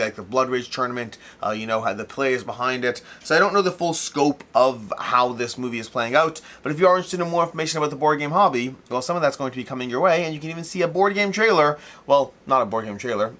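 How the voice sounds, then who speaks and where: A man presents news as a show host in a quick, bright voice of ordinary pitch. His delivery is casual and unscripted, and he tends to ramble, circling back to repeat or fix what he has just said. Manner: rambling, repeats and corrects himself mid-sentence.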